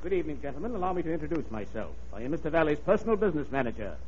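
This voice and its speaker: A man's voice: on the high side, sing-song, racing, a little mellow, a little hiss or hum. A man playing an officious, pompous business manager who speaks formally in an old radio comedy. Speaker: comic actor. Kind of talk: 1940s radio comedy acting. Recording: muffled old radio recording.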